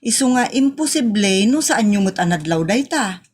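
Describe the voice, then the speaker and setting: A woman's voice: mid-range voice, expressive, moderate pace, a little mellow, slightly rough. A woman with a northern Philippine accent acting a daughter who earnestly reproaches and pleads with a parent in a comedy audio drama. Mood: reproachful. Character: grown daughter earnestly reproaching her mother.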